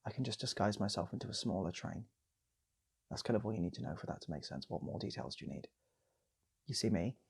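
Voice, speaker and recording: A self-assured, matter-of-fact woman, as a male storyteller plays her, with a low and husky voice. She is deadpan and unbothered in a comic tale. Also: fast, pauses often, exaggerated voice.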